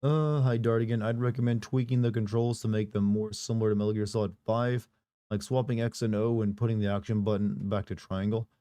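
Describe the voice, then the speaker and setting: A man with a hoarse, recovering voice, casually chatting on a live stream and reading viewer messages aloud with rambling, joking asides. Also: fairly low pitch, little pitch movement, a little fast, warm tone, no echo.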